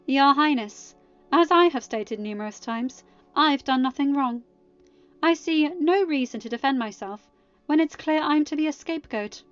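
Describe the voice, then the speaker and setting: Storybook queen voiced by a woman, haughty, defensive, emphatic, raised pitch, slightly dull recording. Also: moderate pace, faint music behind, lightly acted. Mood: indignant, defensive. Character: haughty, indignant accused queen protesting innocence.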